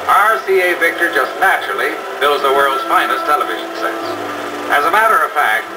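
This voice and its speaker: A man in a 1950s promotional film, giving an upbeat, persuasive sales pitch while guiding a visitor. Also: sing-song, brisk. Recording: distant, muffled old film sound with loud music.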